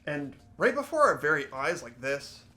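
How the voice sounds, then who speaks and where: A man plays an earnest, ordinary teenage schoolboy in a mystery visual novel. His highish voice rises and falls a lot as he questions and puzzles things out uncertainly, with game music behind him. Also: very animated, normal speed, pushed and bright. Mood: puzzled, curious. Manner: questioning, hesitant, thinking aloud.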